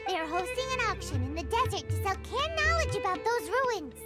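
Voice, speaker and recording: Squeaky little game sidekick girl, super high, bouncy and bratty. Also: very animated. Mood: eager. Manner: bratty, exclaiming, quick to react. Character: tiny excitable floating companion girl. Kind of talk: video game dub. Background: game music.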